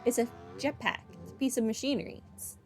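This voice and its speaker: A woman's voice: on the high side, steady tone, quick pace, faint music behind. A woman giving casual playthrough commentary, explaining her opinions conversationally as she reacts. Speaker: game streamer. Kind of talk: casual playthrough commentary and reading dialogue choices.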